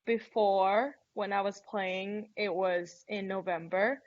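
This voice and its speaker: A woman's voice: mid-range voice, steady tone, slow pace, dull audio. A young woman from Thailand whose first language is Thai, chatting casually in a webinar interview and filling her speech with "like" and "um". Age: young adult. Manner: chatty, many fillers like "like" and "um". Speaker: college golfer guest.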